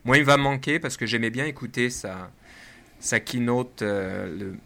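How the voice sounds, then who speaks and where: Bright-voiced man with a French accent. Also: mid-range voice, normal speed, vocal fry. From France. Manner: chatty, many fillers and restarts. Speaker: podcast co-host. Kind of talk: casual tech chat.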